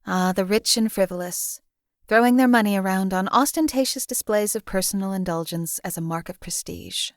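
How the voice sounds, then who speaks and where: A woman voicing a cold, detached female assassin in a game, speaking in a measured, formal, matter-of-fact way. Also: medium pitch, no room sound, clean studio sound, close to an everyday voice. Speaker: game companion character. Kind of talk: voiced game companion lines.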